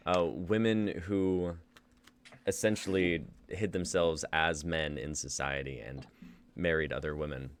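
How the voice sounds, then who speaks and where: Very deep-voiced man chatting loosely. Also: fairly even intonation, unhurried, a little hiss or hum. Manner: loose, swearing, laughing between phrases. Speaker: reading host. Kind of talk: casual chat after a read.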